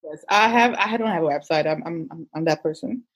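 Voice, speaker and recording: Husky-voiced woman, Portuguese native. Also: deep voice, brisk. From Angola. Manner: animated, self-deprecating, restarts often. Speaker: guest coach. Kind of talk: casual conversation.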